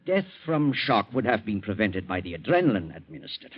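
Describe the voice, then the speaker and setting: Husky, emphatic autopsy surgeon. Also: male voice, lowish voice, very animated. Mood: clinical. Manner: deliberate, emphatic, clinical. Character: precise, frank male autopsy surgeon. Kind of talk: 1940s radio acting. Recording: muffled old radio recording.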